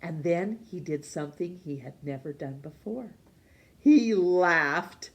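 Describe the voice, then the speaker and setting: A woman reading a children's storybook as narrator, expressive, with dramatic pauses and emphasis. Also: lowish voice. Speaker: storytime reader.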